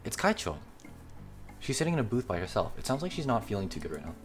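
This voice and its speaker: Male game player, lively read-aloud narration, fast delivery, faint music behind. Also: lowish voice. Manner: animated, voicing characters. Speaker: player reading a visual novel aloud.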